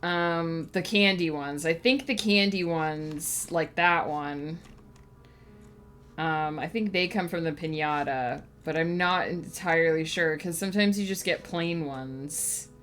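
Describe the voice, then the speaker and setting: A woman's voice: low voice, a little slow, fairly bright tone, soft background music. A woman author chatting casually on a livestream, thinking aloud and rambling with lots of fillers, a little sniffly. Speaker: author streaming her writing process.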